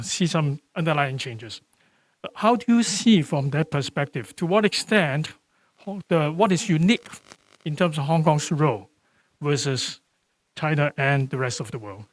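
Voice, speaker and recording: A man moderating a business forum, putting questions to a panelist in a halting, deliberate way. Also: on the high side.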